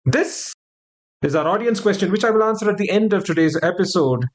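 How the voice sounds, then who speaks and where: Measured man hosting a trivia podcast. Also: sits high, lively intonation. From Kerala, India. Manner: measured, reads out quiz questions. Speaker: podcast host.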